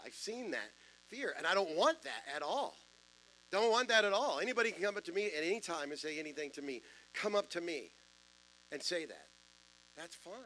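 Deep-voiced preacher in a church. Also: male voice, sharp tone, slight background noise, low-quality recording. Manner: conversational preaching with self-deprecating jokes and asides. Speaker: pastor. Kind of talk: sermon. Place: church sanctuary.

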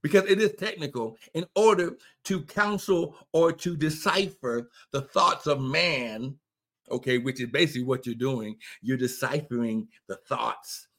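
A man with an ordinary mid-range voice, talking about faith in a preacherly way. He quotes scripture and climbs to emphatic, louder peaks. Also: medium pitch. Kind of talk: faith talk conversation.

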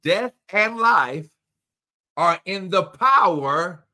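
A man talking in an emphatic preaching cadence, calling out to listeners as he goes. His voice sits fairly high for a man and sounds bright and forceful. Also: animated, extremely slow. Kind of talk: preaching conversation.

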